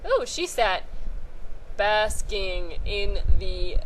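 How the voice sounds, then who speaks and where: A woman reading a story aloud informally, halting and sometimes stumbling over or repeating phrases. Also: mid-range voice, sing-song, a little hiss or hum, rough recording. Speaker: podcast host reading a short story aloud.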